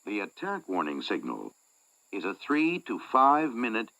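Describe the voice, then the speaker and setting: Deep, creaky-voiced male emergency announcer. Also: wildly swooping pitch, a little slow, sharp tone, poor audio. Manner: authoritative, instructional. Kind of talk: scripted civil defense announcement.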